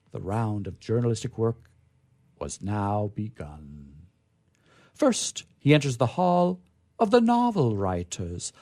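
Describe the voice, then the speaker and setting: Animated, gravelly man reading a story theatrically. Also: on the high side. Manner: theatrical, emphatic, with drawn-out phrases and dramatic pauses. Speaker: reader performing a literary reading. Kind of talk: dramatic narration of a vintage science fiction story.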